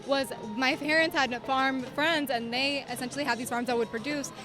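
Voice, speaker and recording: A woman's voice: high-pitched, steady tone, moderate pace. A young woman from Cuba with a slight Southern US accent, her first language Spanish, chatting upbeat and polite as a pageant contestant. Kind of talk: casual interview answers. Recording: music playing behind.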